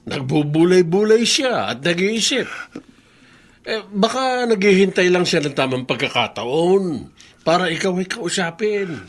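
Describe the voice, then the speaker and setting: An actor playing a calm father who comforts his anxious son in a radio drama, often pausing with hesitant fillers. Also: high-pitched, heavily acted. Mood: soothing. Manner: soothing, hesitant with "eh" and "ah". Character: calm, reassuring older father.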